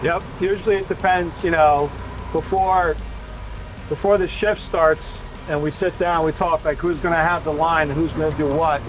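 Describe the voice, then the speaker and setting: A man's voice: a little high, fairly even intonation, moderate pace, soft background music, rough recording. A man working as a firefighter, explaining his job in a casual, practical, plain-spoken way. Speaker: firefighter and truck driver. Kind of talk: casual explanatory interview talk. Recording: clear vehicle and street noise.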